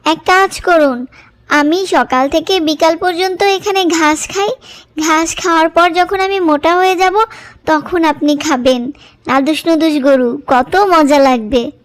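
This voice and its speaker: A woman's voice: sits high, measured pace. A woman voicing a clever, helpful cow in a children's cartoon, with a coaxing, mock-humble way of talking. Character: clever, helpful cow who sweetly outwits the powerful. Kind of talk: children's cartoon story dialogue.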